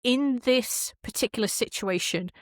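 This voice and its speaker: A woman's voice: fairly high pitch, a little slow, no room sound. A woman chatting casually and opinionatedly as an interview guest. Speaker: podcast guest, game designer.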